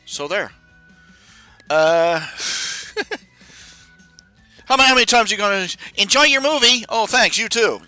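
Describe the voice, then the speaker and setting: A man in his forties chatting casually on a live stream, rambling and grumbling in a self-deprecating way while he fiddles with software. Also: high voice, expressive, measured pace, pauses often, no room sound, faint music behind. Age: 40s.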